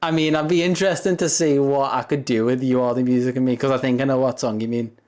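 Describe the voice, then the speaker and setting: A young man with a deep voice chatting casually with viewers on a livestream, rambling and laughing often between thoughts. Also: fairly even intonation, fast, darkish tone.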